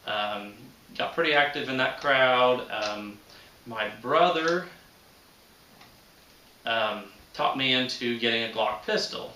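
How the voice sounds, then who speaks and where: A man's voice: on the low side, sharp tone, a little hiss or hum. A man in his thirties chatting casually and unscripted to viewers as a channel host, with a folksy manner and rural, colloquial grammar. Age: 30s. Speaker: host of a shooting hobby channel. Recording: roomy echo, distant microphone.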